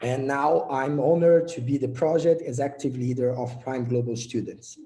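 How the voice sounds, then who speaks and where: A man's voice: medium pitch, normal speed, mellow, echoey room. A young man from Brazil with a Brazilian Portuguese accent, giving an earnest prepared presentation as project leader, with small slips and restarts.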